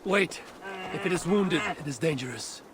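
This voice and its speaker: A man's voice: a little high, expressive, moderate pace, heavy background noise, lightly acted. A man playing a blunt, watchful Viking warrior who speaks in direct, terse questions in video game dialogue. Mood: cautionary. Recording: music behind the voice.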